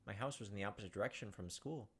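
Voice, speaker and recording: A man's voice: on the low side, very fast, fairly bright tone, slight background noise. A man hosting a let's play, reading game text aloud dramatically with casual asides. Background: game music.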